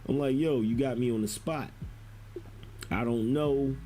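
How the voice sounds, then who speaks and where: Male online commentator, mellow mid-pitched voice, informal slangy storytelling, slight noise behind. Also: measured pace. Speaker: internet commentator. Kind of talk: casual first-person storytelling, quoting conversations.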